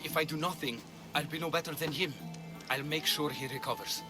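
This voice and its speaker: A man acting as an ancient healer torn by his conscience. His delivery is earnest and measured. It is game drama with clear music behind the voice. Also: fairly high pitch, lightly acted. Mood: resigned. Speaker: video game character. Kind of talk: dramatic game cutscene dialogue.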